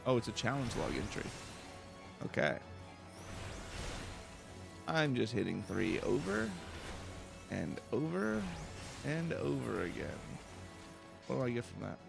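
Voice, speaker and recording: A man giving casual, low-key video game commentary, musing aloud to himself as he plays. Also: very animated, a little slow, creaky, pauses often, over music. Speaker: let's play streamer.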